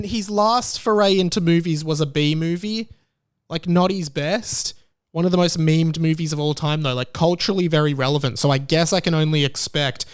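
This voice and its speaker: A man, hosting a solo podcast in an excitable, rambling way. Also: on the high side. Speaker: podcast host.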